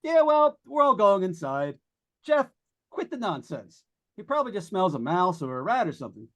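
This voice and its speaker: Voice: medium pitch, big pitch swings, normal speed, lightly acted. An actor playing a chatty, wisecracking radio announcer on a ghost hunt, in 1940s radio drama style. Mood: brisk, chatty. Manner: breezy, folksy, jokey.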